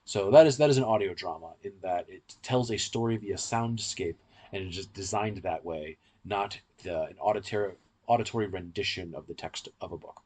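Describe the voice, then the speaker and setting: A man's voice: low voice, normal speed, darkish tone, slightly rough. A man hosting a podcast, explaining things at length in a conversational discussion.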